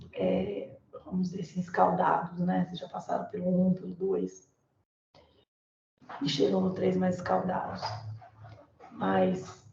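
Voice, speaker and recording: A Brazilian woman teacher with a hoarse voice from a viral illness, lecturing informally in an online class, full of fillers and tag questions. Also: lowish voice, dark tone, a little hiss or hum. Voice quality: hoarse, gravelly. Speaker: Portuguese teacher. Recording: echoey, dull lo-fi room sound.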